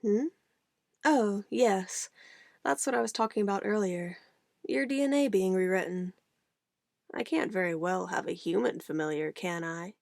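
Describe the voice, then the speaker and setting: A woman's voice: mid-range voice, unhurried, creaky, dry recording, studio quality. A woman playing an obsessive, possessive witch in an ASMR roleplay, sweetly menacing and cooing in an intimate, theatrical way. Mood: playful, giddy. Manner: intimate, cooing, theatrical, shifting between soft whispers and firm commands.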